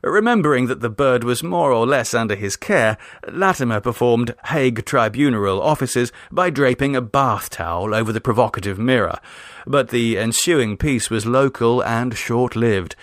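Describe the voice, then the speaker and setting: A man reading a literary story in a measured, wry, articulate way. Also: medium pitch, animated, dry recording, clean studio sound. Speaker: story reader. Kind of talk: literary audiobook narration.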